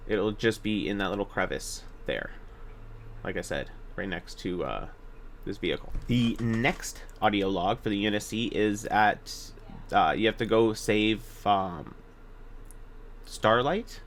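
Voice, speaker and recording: A man's voice: lowish voice, slow pace, a bit bright, slight background noise. A man narrating a video game walkthrough in a casual, informal way, hesitating and correcting himself as he gives directions. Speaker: gaming video guide narrator.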